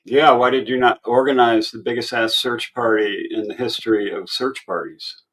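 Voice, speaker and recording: A man's voice: medium pitch, fairly even intonation, normal speed, fairly bright tone, rough recording. A man in his sixties hosting a livestream, chatting casually with short, laid-back replies and some casual swearing. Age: 60s. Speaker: livestream host. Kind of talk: casual chat.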